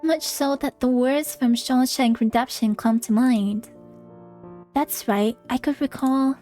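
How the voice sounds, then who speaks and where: Female game streamer, higher voice, expressive narration mixed with offhand remarks, background music. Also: a little slow. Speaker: streamer reading a visual novel aloud. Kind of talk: expressive read-aloud with casual commentary. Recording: music playing behind the voice.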